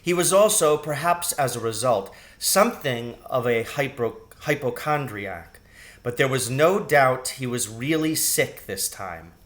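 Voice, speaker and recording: A man's voice: mid-range voice, expressive, unhurried, fairly bright tone. A man reading a children's novel aloud as scripted storybook narration. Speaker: audiobook reader.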